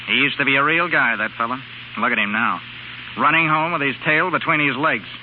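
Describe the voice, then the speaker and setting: Wry bachelor pal actor on muffled old radio. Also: male voice, mid-range voice, brisk, a little hiss or hum, natural voice. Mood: scornful. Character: cynical wisecracking bachelor pal of the husband. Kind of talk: 1930s radio acting. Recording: muffled old radio broadcast, faint music.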